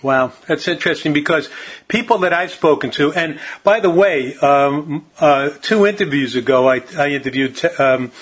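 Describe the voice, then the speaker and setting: A man's voice: on the high side, normal speed, fairly bright tone, husky. A man hosting a radio interview, talking in a friendly and encouraging way. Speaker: radio show host.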